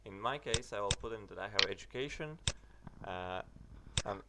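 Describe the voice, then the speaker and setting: A young British man narrating a website walkthrough, halting with many fillers and restarts. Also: fairly low pitch, heavy background noise. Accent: British English. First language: English. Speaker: tutorial narrator. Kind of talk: screen-recorded tutorial walkthrough.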